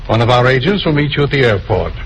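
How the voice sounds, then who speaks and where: A man's voice: medium pitch, big pitch swings, quick pace, gravelly. An actor playing a smug, patronizing male spy boss in a mid-century radio crime drama. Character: smug male villain, patronizing leader of secret spy ring. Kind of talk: 1940s-50s radio crime drama acting. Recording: noisy old radio recording.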